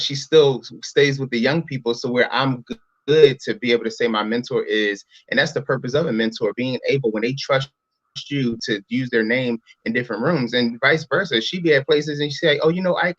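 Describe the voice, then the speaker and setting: A man's voice: mid-range voice, fairly quick, no echo, highs cut off. A man in his late thirties from Philadelphia, a guest in a casual interview, talking in long rambling runs and often adding "you know what I'm saying". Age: 30s. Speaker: interview guest, brand entrepreneur.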